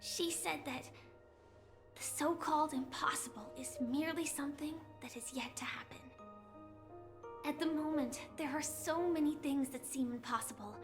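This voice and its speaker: High-voiced, gentle, resolute young warrior girl, woman acting. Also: moderate pace, over music, natural voice. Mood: tender, hopeful. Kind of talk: anime game voice acting.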